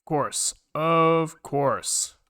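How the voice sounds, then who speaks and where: A man's voice: fairly high pitch, very slow, bright, forceful tone, clear, resonant tone, no echo. Man muttering through code, dry close sound. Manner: muttering, casually sweary, reading code aloud in fragments. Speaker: programming livestreamer. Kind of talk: thinking aloud while coding on a livestream.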